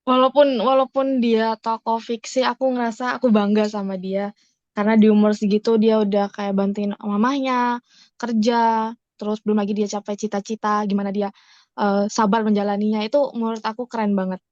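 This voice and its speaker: A young Indonesian woman chatting casually as a podcast guest, often halting to search for her words. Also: mid-range voice, little pitch movement, darkish tone, dry recording, highs cut off. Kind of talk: casual chat.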